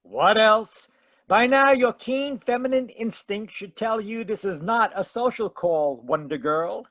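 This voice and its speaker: An actor playing a wry, hardboiled private detective in an old-time radio drama, narrating in dramatic noir fashion. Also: male voice, high-pitched, a little slow, a bit bright, dry recording. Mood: wry, teasing.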